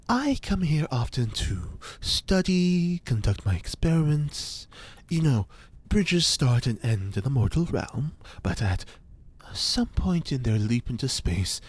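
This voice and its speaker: A man's voice: mid-range voice, husky, heavily acted. An actor playing an eccentric, pompous male scientist who lectures grandly and theatrically in an audio drama. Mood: grandiose. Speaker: reporter's informant.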